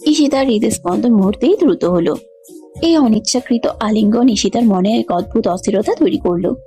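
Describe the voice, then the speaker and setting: A woman's voice: a little high, expressive, brisk, dark tone, faint music behind. A woman narrating a scripted romantic story.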